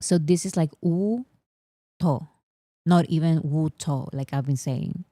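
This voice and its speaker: A woman's voice: low voice, slow pace, dark tone, dry recording, clean studio sound. A young woman whose first language is Spanish, hosting a casual livestream chat, teasing and mock-boastful, laughing often. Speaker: host.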